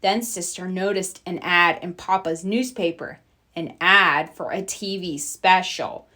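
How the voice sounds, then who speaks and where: A woman narrating a children's picture book in an expressive storytelling style. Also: on the low side, unhurried.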